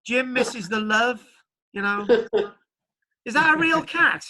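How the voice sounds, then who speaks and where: A man's voice: very high voice, animated, slow pace, pushed and bright. A man lecturing an online archaeology class, chatty and jokey, rambling a little as he talks. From Britain. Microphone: video call.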